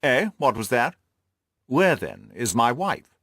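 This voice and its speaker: A man's voice: medium pitch, very animated, slow, sharp tone, no echo, full character voice. A man voicing a stately, condescending, old-fashioned nobleman in an audiobook, formal and haughty. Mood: haughty, doubtful. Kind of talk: audiobook character dialogue.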